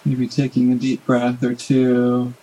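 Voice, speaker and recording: A man leading a guided body scan meditation in a gentle, unhurried manner with long pauses. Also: mid-range voice, completely flat delivery, rough recording.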